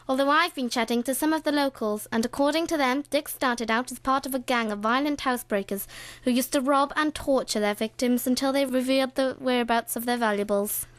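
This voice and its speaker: A woman's voice: high voice, brisk, no room sound, close to an everyday voice. A young woman playing Roger, a male roving reporter in a comic radio play. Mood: earnest reporting. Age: young adult. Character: chirpy male roving reporter sent back in time.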